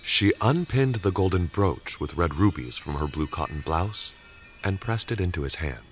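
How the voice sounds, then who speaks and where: A male narrator with a deep voice tells a scripted story dramatically. His intonation is animated, and soft music plays behind him. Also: moderate pace.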